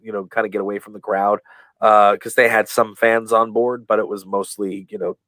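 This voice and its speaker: A man being interviewed on a podcast, telling stories in a rambling way, full of fillers and restarts, and acting out quoted lines with lively voices. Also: on the low side, a little fast, no room sound. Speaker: guest, professional wrestler. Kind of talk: casual storytelling in a podcast interview.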